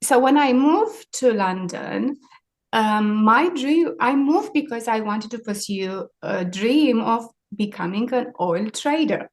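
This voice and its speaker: A woman's voice: a little high, lively intonation, slow pace. A woman in her forties with a Romanian accent, answering interview questions in a reflective, hesitant way. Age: 40s. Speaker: interview guest, maritime sustainability leader.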